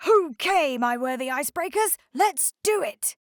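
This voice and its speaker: A high-pitched male hydrocopter character from a children's storybook, acted out by a woman reader. He is a drowsy, easily startled vehicle with an eager helpful streak. The sound is close and dry. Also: animated, a little slow, no room sound. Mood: rallying.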